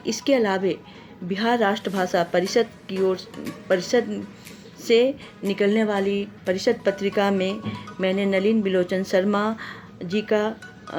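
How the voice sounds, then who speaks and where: A woman from Bihar introducing herself to listeners as a radio presenter, speaking haltingly and repeating broken-off words. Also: medium pitch, darkish tone. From Bihar, India. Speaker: radio presenter and literary critic.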